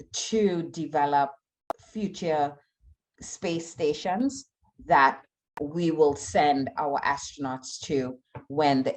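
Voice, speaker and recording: Woman from Trinidad and Tobago, low voice, unhurried, earnest, fillers. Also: darkish tone. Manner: measured, earnest, with frequent fillers. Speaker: aerospace engineer speaker. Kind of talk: online talk answering audience questions.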